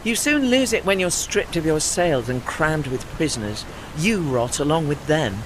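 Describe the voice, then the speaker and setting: Guy playing a posh-mannered sad old sailing warship, wistful and gentle, swoopy intonation, radio drama with engine noise behind. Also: fairly high pitch, expressive, normal speed, lightly acted. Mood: wistful, resigned. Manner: genteel, formal, wistful. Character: refined, melancholy old retired warship with gentle dignity. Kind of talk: audio play acting.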